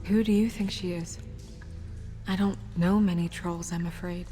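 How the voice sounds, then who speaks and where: An actress playing a composed, concerned but determined woman in a video game, speaking in an earnest, measured way. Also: fairly low pitch, steady tone, mellow, close to an everyday voice. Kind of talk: dramatic video game acting. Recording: music behind.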